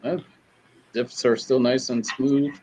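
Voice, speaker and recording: A man co-hosting a casual chat, easygoing and halting as he talks. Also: mid-range voice, fairly even intonation, dark tone, husky, rough recording.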